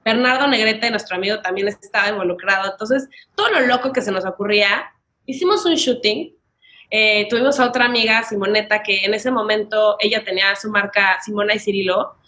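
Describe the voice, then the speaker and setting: Bright-voiced young Mexican woman telling stories. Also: medium pitch, a little fast. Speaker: podcast guest, wedding planner. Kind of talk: casual conversational storytelling.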